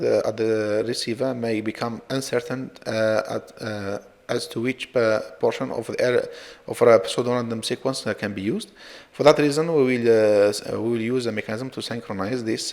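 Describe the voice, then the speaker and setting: Male researcher presenting slides; stop-start hesitant delivery, gravelly fry, echoing hall-like sound. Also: medium pitch, darkish tone. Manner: halting, hesitant delivery with frequent repeats. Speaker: researcher presenting own work. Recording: reverberant, hall-like echo.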